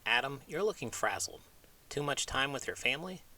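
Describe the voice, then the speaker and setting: A man's voice: mid-range voice, lively intonation, brisk, sharp tone, pitched above the performer's own voice, low-quality recording. A man voicing a cocky, carefree talking pig with a boastful, teasing manner in a children's show. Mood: teasing, breezy.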